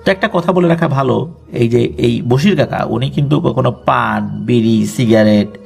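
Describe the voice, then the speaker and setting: Bangladeshi guy telling a horror story, casual, bit creaky voice, soft music under it. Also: mid-range voice, moderate pace. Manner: explanatory, with colloquial asides. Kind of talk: conversational ghost storytelling.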